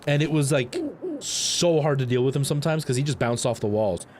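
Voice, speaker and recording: A man's voice: medium pitch, a little fast, darkish tone, slight background noise. A man chatting casually to viewers on a game stream, telling rambling anecdotes. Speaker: live streamer.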